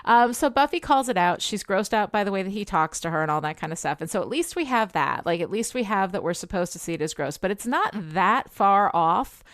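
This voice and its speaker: A woman's voice: mid-range voice, lively intonation, a little fast, hardly any pauses, fairly bright tone, no echo, studio quality. A woman co-hosting a podcast, talking casually and emphatically with blunt, sweary opinions. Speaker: podcast co-host, TV show critic.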